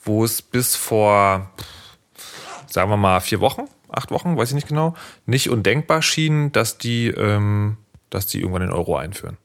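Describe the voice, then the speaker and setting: A man from the former East Germany talks in a low voice. He thinks out loud and circles back, with many fillers and restarts. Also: expressive, normal speed, creaky. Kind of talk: casual discussion.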